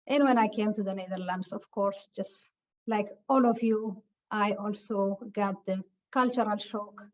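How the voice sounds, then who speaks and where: A woman from Ethiopia giving a webinar talk over a video call, speaking haltingly with many filler sounds. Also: mid-range voice, fairly even intonation. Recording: muffled lo-fi call audio.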